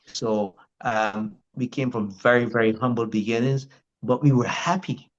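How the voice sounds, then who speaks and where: A man's voice: mid-range voice, fairly even intonation, a little slow, lo-fi sound. An older man from Barbados with a Barbadian accent, telling his life story as a guest in a casual interview, rambling with many restarts.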